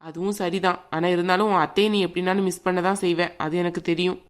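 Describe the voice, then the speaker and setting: A woman playing a gentle grandmother in a family drama, speaking in a soothing, colloquial, conversational way. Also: lowish voice, flat delivery, fast talker, close to an everyday voice. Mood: resigned, sympathetic. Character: gentle, peacemaking grandmother, mother of a grown daughter.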